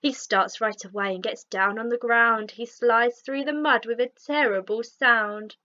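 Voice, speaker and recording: A woman teacher narrating a rhyming children's storybook in an expressive, measured read-aloud style, on a lo-fi recording. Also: fairly high pitch.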